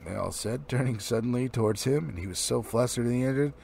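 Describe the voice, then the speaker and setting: A man's voice: medium pitch, fairly quick, vocal fry, a little mellow. A man reading a storybook aloud as narrator, in the style of a home audiobook. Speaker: amateur audiobook reader. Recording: faint background noise.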